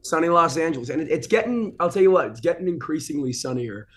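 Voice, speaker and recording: A man's voice: a little high, lively intonation, fast. An American man in his twenties from Boston, chatting casually and informally as a guest broadcaster on an interview show. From Boston, United States. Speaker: guest, broadcaster and ring announcer.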